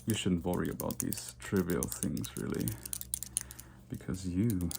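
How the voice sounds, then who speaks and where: A man playing a vain, condescending yet flirtatious vampire in a soft-spoken, nonchalant ASMR roleplay. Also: deep voice, gravelly, slight background noise, heavily acted. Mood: smug, flirtatious. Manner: soft-spoken, unhurried, repeats words in whispers.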